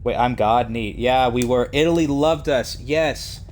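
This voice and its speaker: A man's voice: mid-range voice, a little slow, a little mellow, slight background noise. A man streaming a video game, chatting casually with viewers in a profane, joking, rambling way. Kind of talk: casual live-stream commentary.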